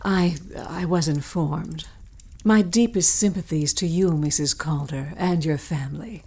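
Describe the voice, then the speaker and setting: An actress playing a poised, polite young governess in a period audio drama, formal and courteous. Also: female voice, low voice, measured pace, warm tone, breathy edge, full character voice. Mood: composed, formal.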